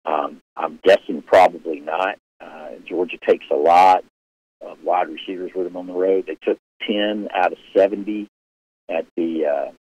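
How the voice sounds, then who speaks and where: Deep-voiced man with dull lo-fi audio. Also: fairly even intonation, normal speed, husky, no room sound. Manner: loud voice, rambling with many fillers and restarts. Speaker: sports show host answering viewer questions. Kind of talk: casual live chat. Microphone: live stream setup.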